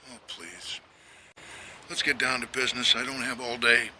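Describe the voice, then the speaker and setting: Tense, impatient official. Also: male voice, mid-range voice, normal speed, sharp tone, slight background noise. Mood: nervous impatience. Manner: curt, tense. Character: impatient, nervous wealthy official taking a bribe. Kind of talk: radio drama acting.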